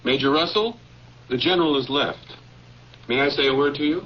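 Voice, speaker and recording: An actor playing an earnest, scheming general's aide in an old-time radio drama, deferential and persuasive in manner. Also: male voice, a little high, normal speed, natural voice. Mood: deferential, businesslike. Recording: muffled, noisy old radio broadcast recording.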